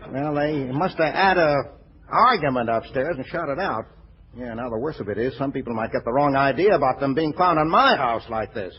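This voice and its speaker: A muffled, noisy vintage radio comedy recording carries a man acting a callous mob boss who grumbles in slangy tough-guy talk. His middle-pitched voice swings up and down freely, with muttered hesitations. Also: expressive, a little fast, natural voice. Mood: grumbling, uneasy. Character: gangster boss gone legitimate, grumbling, casually callous.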